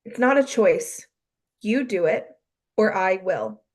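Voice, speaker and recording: A woman's voice: mid-range voice, very animated, slow. A woman playing a hurt, stern young woman in a staged play reading, accusing and emphatic. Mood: firm. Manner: emphatic, repeating herself, accusing.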